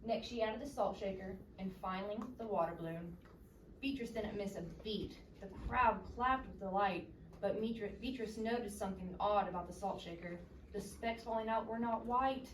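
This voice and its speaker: A woman's voice: mid-range voice, moderate pace. A woman reading a children's story aloud expressively and interactively, recorded at a distance in an echoey, noisy room. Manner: expressive, questioning teacher-like delivery.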